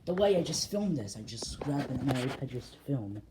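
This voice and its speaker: A filmmaker narrating a behind-the-scenes vlog in a boastful, halting, repetitive way. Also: medium pitch, very animated, noisy background. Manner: boastful, self-congratulatory. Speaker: filmmaker making analog horror videos.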